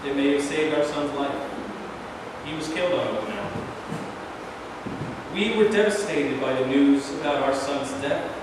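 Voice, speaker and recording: A man's voice: a little high, normal speed, pauses often, close to an everyday voice. A man reading the part of a resolute, plain-spoken president in a staged play, recorded from afar in an echoey room. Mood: solemn. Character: resolute, plain-spoken male American president defending his decision. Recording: very echoey hall, far mic, noisy.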